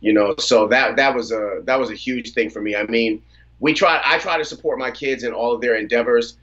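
A man in his thirties from the Washington DC area, a rapper telling relaxed stories about his family and career as a guest on a video-call interview. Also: medium pitch, brisk, highs cut off. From United States, Washington DC area. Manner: relaxed storyteller, laughs easily. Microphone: video call from a phone or laptop.

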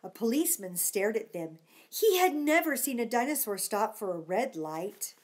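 Middle-aged woman, expressive storytime reader, very varied intonation, child-directed asides. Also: on the high side, normal speed. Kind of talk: expressive storybook read-aloud with chatty asides. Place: living room at home.

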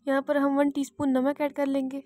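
A woman cooking host talks through a recipe in a quick, high voice that hardly moves in pitch. Her tone is soft and mellow, and the recording is dry and close, with no room around it. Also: monotone, dry recording. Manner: plain, repetitive instructional narration. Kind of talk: step-by-step recipe voice-over.

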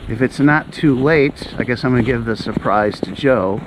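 A middle-aged man talks casually as the host of a hiking vlog. His voice sits at an ordinary male pitch. It is recorded outdoors on the move, with some ambient noise around him. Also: normal speed. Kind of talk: casual chat while walking. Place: outdoors on a snowy woodland trail. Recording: noisy outdoor recording, walking on snowy trail.

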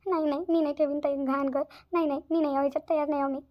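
Lady doing worried cartoon village mom, vidarbha marathi accent, talks super fast and pleading, kinda muffled lo-fi audio. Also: high-pitched, level tone, close to an everyday voice. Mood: upset, pleading. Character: worried, hard-working village mother, pleading and stubborn.

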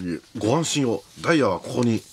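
A man playing a gruff, earnest police inspector in a comic radio drama, speaking in clipped, solemn commands. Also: medium pitch, expressive, fairly quick. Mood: urgent, dutiful.

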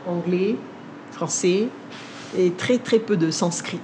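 Older woman with Vietnamese as her first language, low mellow voice, unhurried and reflective, interview in a living room. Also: a little hiss or hum. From India. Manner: measured, thoughtful, with frequent pauses and hesitations. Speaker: cookbook author. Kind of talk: sit-down interview.

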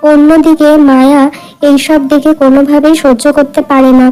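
A woman narrates a moral fairytale from a script. Her voice is high and mellow, telling the story in the third person for a cartoon. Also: flat delivery, normal speed, poor audio.

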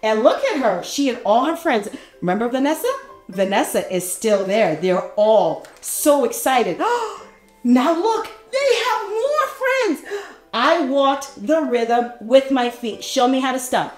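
A woman reading a picture book aloud to small children in an animated, encouraging, exaggerated way, inviting them to join in. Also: fairly high pitch, a little slow, roomy sound, soft background music. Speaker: read-aloud storyteller for young children. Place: small furnished indoor room.

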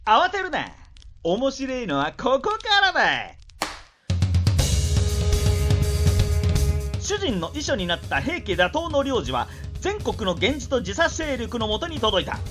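Brash, animated samurai scout, high-voiced. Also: female voice, normal speed, faint music behind. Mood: excited, boastful. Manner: theatrical storytelling patter in rough, old-time speech. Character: brash, talkative samurai scout telling war news with relish. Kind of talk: radio drama acting.

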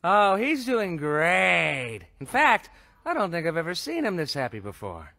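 Smug, sarcastic cartoon rabbit. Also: male voice, high-pitched, wildly swooping pitch, a little slow, gravelly, pushed and bright, exaggerated voice. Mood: dry, sarcastic. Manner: sardonic, teasing. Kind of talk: animated web series acting. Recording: cartoon soundtrack with music behind.

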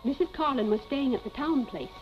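Actress as a high-voiced accusing old housekeeper. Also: female voice, a little fast, close to an everyday voice. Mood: righteous, accusing. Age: older. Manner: dramatic, emphatic, accusatory. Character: stern elderly housekeeper, accusing, righteous, suspicious. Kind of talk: 1940s film melodrama acting. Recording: muffled 1940s film soundtrack, music behind.

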